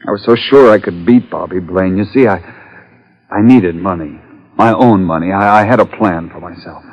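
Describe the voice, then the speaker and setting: Shaken athlete in reverberant radio drama. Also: male voice, low-pitched. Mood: confessing. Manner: earnest, halting. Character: shaken, henpecked male tennis player. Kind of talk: 1950s radio acting. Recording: muffled, reverberant old radio recording.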